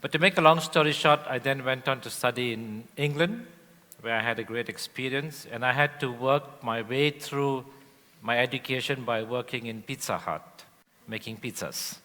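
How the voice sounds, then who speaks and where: A man from Indonesia, a guest speaker telling his life story on stage in a relaxed way with frequent fillers. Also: medium pitch, moderate pace. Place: large event hall on stage. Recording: long hall reverb.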